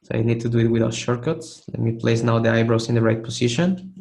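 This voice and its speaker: Hoarse man with a gravelly, flat voice. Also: medium pitch, fast talker. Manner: casual, encouraging, restarts phrases while demonstrating. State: hoarse, losing his voice, clearing his throat. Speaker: art instructor giving feedback. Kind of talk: live webinar critique of 3D sculpts.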